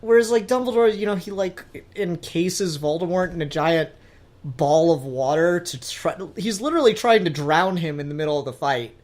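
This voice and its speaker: A man's voice: a little high, moderate pace. A man hosting a casual pop-culture podcast, talking informally with geeky enthusiasm and some mild swearing. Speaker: podcast host.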